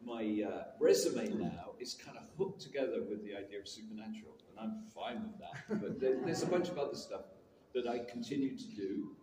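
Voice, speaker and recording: A man's voice: medium pitch, animated, fairly quick. A man in his sixties with a British accent tinged with Canadian, a chatty and self-mocking guest actor telling stories on a convention panel. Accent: British with a bit of Canadian. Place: large convention panel hall. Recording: echoey hall from far off with crowd noise.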